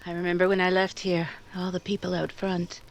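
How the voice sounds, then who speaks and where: A woman acting a gentle, lonely and quietly wounded young woman in a 1940s style radio drama. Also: fairly low pitch, flat delivery, a little fast, close to an everyday voice. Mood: wry. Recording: clearly noisy recording with clicks.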